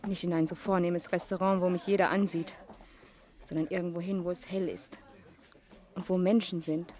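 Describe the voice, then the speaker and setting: Actress as lonely young woman adrift in the city, lowish voice, nervous, introspective radio-drama monologue. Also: flat delivery, moderate pace, a little mellow, natural voice. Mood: restless.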